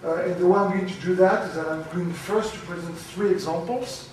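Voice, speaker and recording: A man giving a conference talk, loud and halting, with many fillers. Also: high-pitched, slight background noise. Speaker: conference speaker. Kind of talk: academic presentation. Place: lecture room. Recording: very echoey, from far off.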